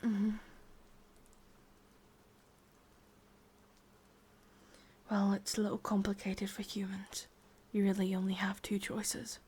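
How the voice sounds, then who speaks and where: A woman with an English accent playing a sadistic, possessive witch in a hushed, breathy ASMR roleplay, slow and coaxing. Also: mid-range voice. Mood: quietly menacing.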